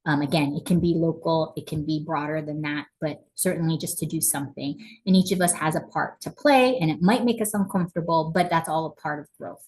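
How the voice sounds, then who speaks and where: A woman's voice: low voice, low-quality recording. A woman psychologist presenting a webinar over a video call, speaking conversationally and often hedging with "sort of". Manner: conversational, frequent fillers like "sort of".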